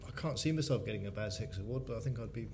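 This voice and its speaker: A man's voice: fairly low pitch, racing, creaky, background noise. A man talking casually as an author on a panel, in a reserved, understated manner.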